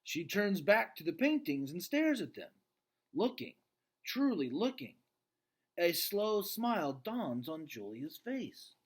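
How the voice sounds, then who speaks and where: A man reading a children's book aloud with expressive narration and casual asides. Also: high voice, slow pace, husky. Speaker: storybook reader.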